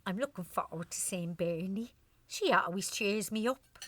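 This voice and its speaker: Actress as anxious older Irish wife, bright-voiced. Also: female voice, medium pitch, normal speed, a little hiss or hum, close to an everyday voice. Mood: worried, wistful. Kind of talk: radio play acting.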